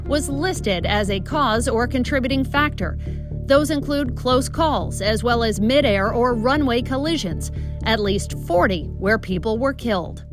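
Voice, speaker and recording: Higher-pitched American woman reporting news over music. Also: animated, measured pace. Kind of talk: scripted news narration. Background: music bed.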